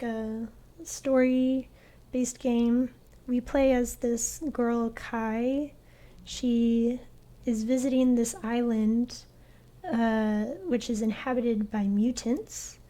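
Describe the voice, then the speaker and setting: Slow, mellow-voiced young woman streamer. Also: fairly high pitch. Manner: soft, hesitant, many fillers. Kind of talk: casual gaming stream chat.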